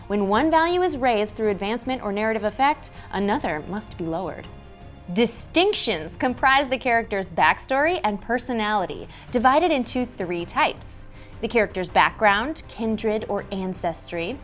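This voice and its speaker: A woman in her thirties hosting a scripted instructional explainer, speaking articulately with emphatic phrasing. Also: on the high side. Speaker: host. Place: indoor decorated studio set at a table. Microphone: lapel mic.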